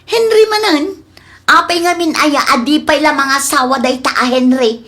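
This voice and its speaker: A woman's voice: high-pitched, moderate pace, bright, forceful tone. A woman playing a nagging, exasperated wife in a comedy audio drama.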